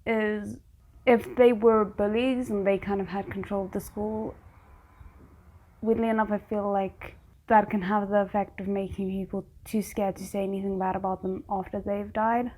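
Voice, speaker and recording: A woman giving casual, chatty, expressive reaction commentary on a TV show, often breaking off mid-thought. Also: mid-range voice, moderate pace, rough recording. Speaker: reaction host.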